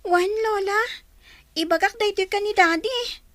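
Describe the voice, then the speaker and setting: A woman's voice: extremely high pitch, measured pace. A woman playing an earnest, anxious young schoolgirl in a radio drama, with a pleading, questioning manner. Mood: worried.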